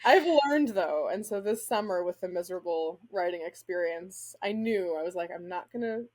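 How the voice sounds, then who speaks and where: A woman's voice: fairly low pitch, normal speed, a little mellow. A middle-aged woman from Spain chatting casually as an interview guest, talking in an informal, self-deprecating way. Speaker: guest author and literary agent.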